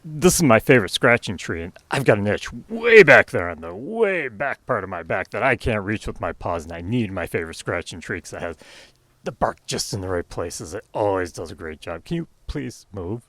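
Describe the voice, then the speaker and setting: Casting: a man playing a courteous storybook bear, itchy and fed up, pitch swinging up and down, repeating himself. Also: very animated, moderate pace, a bit bright, dry recording, exaggerated voice. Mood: polite pleading. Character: polite itchy male bear growing frustrated.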